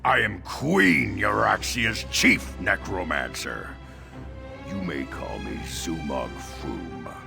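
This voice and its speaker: Gravelly, sinister necromancer. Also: male voice, low-pitched, lively intonation, over music, exaggerated voice. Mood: haughty, menacing. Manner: slow, haughty, contemptuous delivery. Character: sinister arrogant male chief necromancer of a queen. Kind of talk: fantasy video game acting.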